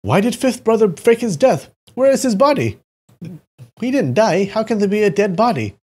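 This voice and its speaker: A narrator voicing an excitable, indignant martial-arts brother in an audiobook. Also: mid-range voice, moderate pace, voice pushed up, lightly acted. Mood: excited.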